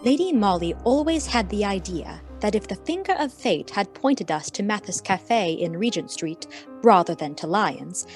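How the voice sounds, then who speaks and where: A woman's voice: mid-range voice, natural voice. A woman playing a devoted, discreet detective's companion, narrating a radio mystery in a formal, precise, confiding way. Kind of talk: scripted radio play narration in character.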